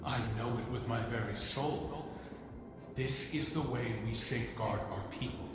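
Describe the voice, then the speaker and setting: A man's voice: mid-range voice, unhurried, over music, natural voice. Man as a devoted, theatrical prince in cavernous reverb. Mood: reverent. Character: devoted, proud younger prince seeking sister's approval. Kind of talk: video game cutscene acting. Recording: heavy echo, long reverb, background noise.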